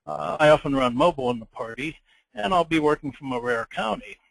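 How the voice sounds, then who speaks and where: A man giving an informal webinar presentation as an organizer, speaking haltingly with frequent ums and uhs. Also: fairly high pitch, expressive, vocal fry, low-quality recording. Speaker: contest chairman presenting a webinar. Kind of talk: informal slide presentation of contest rules. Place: indoors, online webinar.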